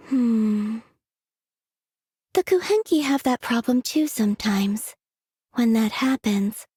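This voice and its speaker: Otherworldly young moon maiden, game character, airy and breathy, delicate, serene, gentle, close dry recording. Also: female voice, sits high, no room sound, full character voice. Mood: drowsy, gentle. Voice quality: soft, breathy. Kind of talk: scripted video game character voice lines.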